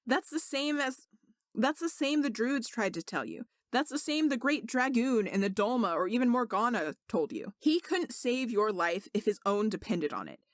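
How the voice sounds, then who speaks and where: A woman's voice: fairly high pitch, very animated, normal speed, dry recording, studio quality. A woman voicing an indignant young male servant who is secretly a sorcerer, speaking earnestly and insistently in a story reading.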